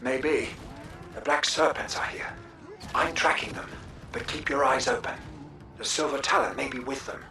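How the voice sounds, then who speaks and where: An actor playing a shrewd, wry, plain-spoken bounty hunter ally in a video game. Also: male voice, medium pitch, normal speed, gravelly, sharp tone, faint music behind. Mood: guarded.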